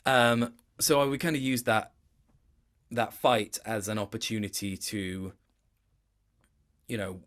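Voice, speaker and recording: A man's voice: fairly low pitch, steady tone, a little slow, pauses often, fairly bright tone. Reflective man explaining his acting work. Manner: reflective, explanatory, stop-start phrasing. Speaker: guest voice actor. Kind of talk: interview chat about performance work.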